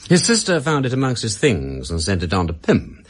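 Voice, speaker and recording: An actor with an upper-class English accent playing an urbane, shrewd gentleman detective in a radio drama, wry and understated. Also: on the low side, wildly swooping pitch, normal speed. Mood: knowing, measured.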